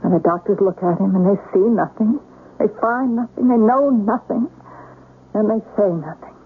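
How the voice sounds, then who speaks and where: A woman playing a forceful, fiercely protective mother and wife in a radio drama, insistent and sharp-tongued. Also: mid-range voice, normal speed, slightly rough, close to an everyday voice. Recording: tinny, hissy old radio broadcast.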